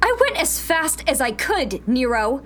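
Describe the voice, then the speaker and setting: A woman's voice: sits high, sing-song, measured pace, a bit bright, a little hiss or hum, exaggerated voice. A woman playing a hard-bitten, sarcastic rebel technician in a sci-fi radio drama, curt and pragmatic. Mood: defensive, scornful. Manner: curt, snappy, scornful.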